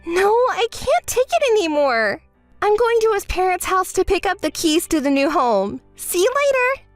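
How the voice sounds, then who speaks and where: A woman's voice: extremely high pitch, very animated, normal speed, dry recording, faint music behind, voice pushed up. A woman voicing a spoiled, entitled young woman who whines and shrieks. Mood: indignant. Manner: whiny, demanding, shrieking. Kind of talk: dramatized story voice acting.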